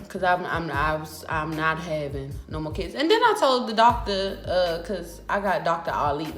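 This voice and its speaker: A woman in her twenties with an American accent tells a personal story in a casual, animated, emphatic and sassy vlog style. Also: lowish voice. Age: 20s. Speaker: vlogger. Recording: roomy echo with music behind.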